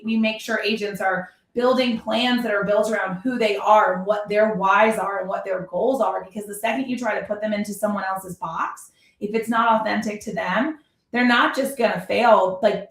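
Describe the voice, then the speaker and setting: An emphatic woman explains things in a conversational podcast discussion, leaning on repetition and examples. Her pitch is middling for a woman. The recording is echoey, with her voice set back from the microphone. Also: recorded from a distance. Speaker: podcast host and real estate productivity coach. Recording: roomy echo, away from the mic.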